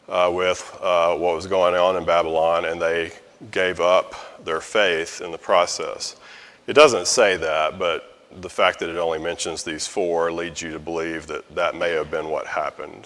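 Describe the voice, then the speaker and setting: Deep-voiced man teaching Bible study. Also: a bit bright. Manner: conversational, explains with fillers and restarts. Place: church meeting room. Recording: echoey hall with long reverb.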